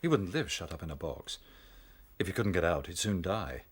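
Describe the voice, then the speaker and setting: A man with an English accent playing a kindly, educated country doctor in a radio drama, gentle, avuncular and a little hesitant. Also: deep voice, lively intonation, normal speed, breathy edge. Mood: kindly, matter-of-fact. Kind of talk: naturalistic radio drama acting.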